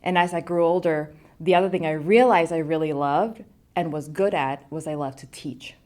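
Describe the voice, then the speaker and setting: A woman's voice: low voice, moderate pace, darkish tone. A woman from Thailand who teaches home economics, speaking enthusiastically in an interview. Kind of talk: interview answer.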